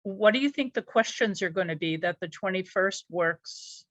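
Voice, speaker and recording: A woman's voice: medium pitch, animated, normal speed, a bit bright, no echo. A woman asking a considered question as an audience member on an online call, with dull, lo-fi audio.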